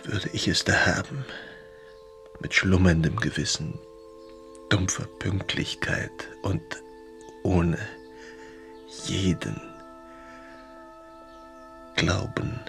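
An actor playing a melancholy, haunted writer confiding in a friend by letter in a German radio drama, intimate and brooding. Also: male voice, sits low, creaky, fairly bright tone, heavily acted. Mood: resigned, wistful.

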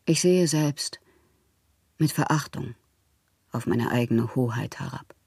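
An actress playing a proud, lovelorn woman poet in a literary radio drama monologue, theatrical and intimate with a husky, breathy voice. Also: extremely low pitch, slow pace, a little mellow, no echo, studio quality, heavily acted. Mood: wounded, bitter. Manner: theatrical, poetic, intimate, at times whispering. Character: wounded, proud, lovelorn woman poet styling herself a prince.

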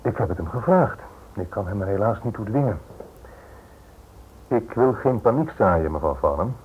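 A man playing a courteous Scotland Yard police inspector in a radio play, measured and politely firm as he warns. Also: fairly low pitch, animated, lightly acted. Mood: firm warning. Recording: tinny, noisy old radio play recording.

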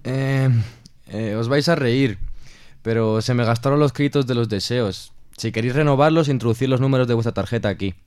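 A man's voice: medium pitch, fairly quick, a little mellow, clear, resonant tone, no room sound, natural voice. A man playing a bumbling fraud of a wizard, pompous yet cowardly and hesitant, in a comic audio drama. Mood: sheepish.

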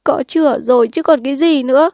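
Woman doing a tearful young village woman, high pleading voice, emotional, dry close storybook reading. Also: moderate pace, darkish tone, no room sound, natural voice.

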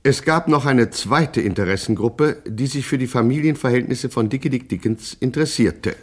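An actor playing the mock-epic narrator of a radio gangster satire. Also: male voice, mid-range voice, normal speed, lightly acted. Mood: dramatic narration. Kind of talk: scripted radio drama narration.

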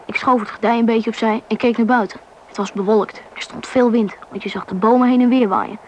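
A young boy voiced by a woman tells a story in a radio play. The voice is fairly high and measured. There is faint noise in the recording. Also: fairly even intonation, natural voice, poor audio. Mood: reflective. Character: young boy narrating his family's train journey. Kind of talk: scripted narration in a radio play.

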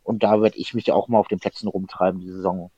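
A man's voice: deep voice, level tone, fast talker, warm tone, dry recording. A man chats loosely, spinning long anecdotes full of small restarts and fillers. His voice sits in a dry, close space with a muffled, lo-fi quality. It sounds like a home-made podcast recording. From Germany. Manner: chatty storyteller, many fillers and restarts. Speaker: podcast co-host. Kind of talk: casual chat.

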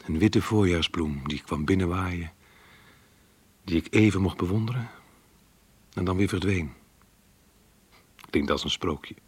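A tender, resigned schoolteacher muses in a radio drama. The voice is deep and husky. He sounds wistful and reflective. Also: unhurried, long pauses. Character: melancholy, resigned, tender schoolteacher secretly devoted to a girl.

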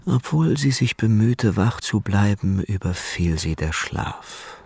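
An actor as the narrator of a radio drama, telling a suspense tale in a measured, literary way. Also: male voice, lowish voice, expressive, vocal fry, darkish tone, husky, exaggerated voice. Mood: measured, suspenseful. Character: male storyteller of a suspense tale.

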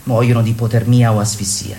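A woman's voice: rumbling low, little pitch movement, fairly quick, mellow, faint music behind. An actress playing a woman who leads a group in a radio play, reading out decrees in an emphatic, ironic way. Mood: grave, emphatic. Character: woman leading a circle, reading out decrees with irony. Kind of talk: theatrical radio drama monologue and dialogue.

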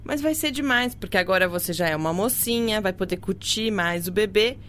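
A woman's voice: medium pitch, expressive, brisk, a bit bright, slight background noise, natural voice. An actress with a Brazilian accent playing an affectionate, teasing mother in a radio soap. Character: affectionate, playfully teasing mother of a teenage girl.

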